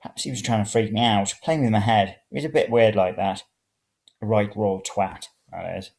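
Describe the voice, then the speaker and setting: A man's voice: fairly low pitch, moderate pace, vocal fry, a little mellow. A man reading a first-person story aloud. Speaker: reader of serial fiction.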